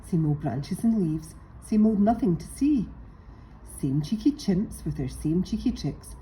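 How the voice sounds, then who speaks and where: A woman reads a rhyming children's story with dramatic expression. Her voice is fairly low and mellow, moving through wide swings of pitch at a measured pace. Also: animated, slight background noise, low-quality recording. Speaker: picture-book reader.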